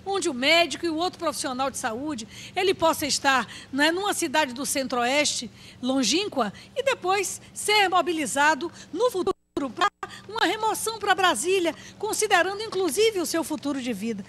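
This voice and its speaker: Very high-pitched Brazilian woman, formal wording. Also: expressive, moderate pace, a bit bright. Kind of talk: argued opinion on public policy. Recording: faint background noise.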